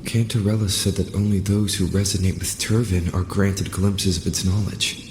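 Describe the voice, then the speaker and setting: A man playing a calm, level-headed young adventurer in a video game, steady and reassuring as he reasons things through. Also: low voice, normal speed, background noise, natural voice. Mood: explanatory. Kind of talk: scripted video game voice acting.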